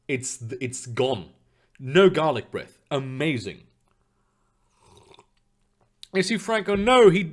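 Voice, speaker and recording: A young man streaming live, chatting loosely with his viewers in a rambling way, full of fillers and casual swearing. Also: high-pitched. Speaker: streamer reading chat.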